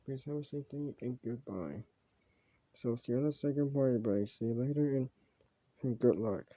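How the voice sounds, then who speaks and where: A man speaking casually as an amateur video creator, improvising in a halting, rambling, repetitive way on a dull home recording. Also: medium pitch, warm tone. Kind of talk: casual improvised commentary and sign-offs.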